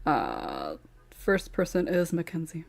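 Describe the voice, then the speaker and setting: Low-pitched woman hosting, giggly and flustered. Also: wildly swooping pitch, takes it slowly, creaky. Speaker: podcast host. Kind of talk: casual group chat, moderating questions.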